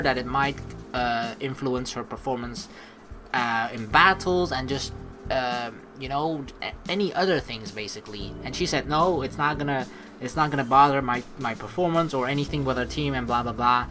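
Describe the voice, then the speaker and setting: A woman's voice: rumbling low, a bit bright. A woman hosting a let's play in a chatty, rambling, earnest way, mixing casual commentary with reading game text aloud.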